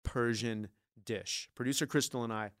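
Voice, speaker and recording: Brief: male podcast host, playful and jokey, mid pitch, close dry mic. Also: fairly even intonation, moderate pace, a bit bright, no echo. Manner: jokey, laughs often.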